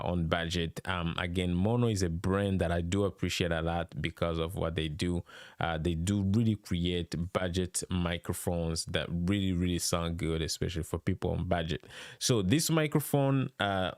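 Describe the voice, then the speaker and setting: A man with a deep, bassy voice, chatting excitedly with lots of fillers as he reviews microphones on a live stream. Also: no room sound, studio quality. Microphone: studio dynamic microphone close up.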